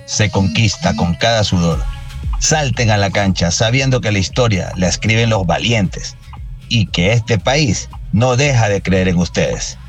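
A man with a low voice recites a rousing message in a declamatory way. Faint music plays behind him. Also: a little slow. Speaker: listener sending a voice message.

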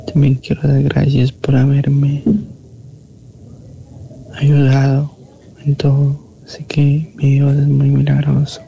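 A man's voice: medium pitch, completely flat delivery, slow, gravelly, long pauses, warm tone. A man from Ecuador, calling in to give an earnest, grateful testimony that slips at times into whispers. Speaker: caller giving a testimony. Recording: dull phone line with background noise.

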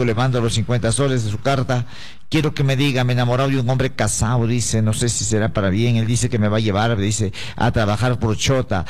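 A man's voice: mid-range voice, darkish tone. A man giving live psychic consultations to listeners, speaking in an emphatic, repetitive, preacher-like way. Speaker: radio psychic answering letters.

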